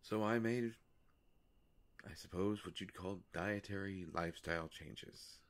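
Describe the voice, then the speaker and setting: Man playing a slow, low, gravelly vampire. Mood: musing, hesitant. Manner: slow, halting, musing, polite delivery with long pauses. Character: courteous, world-weary immortal male vampire, gently wry. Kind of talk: intimate roleplay audio monologue to the listener.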